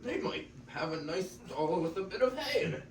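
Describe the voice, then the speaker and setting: Earnest donkey leader, highish, played by teenage boy. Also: big pitch swings, moderate pace. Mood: practical. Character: earnest, bossy peacemaking old donkey leader. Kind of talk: classroom reader's theater. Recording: echoey classroom, distant mic, faint noise.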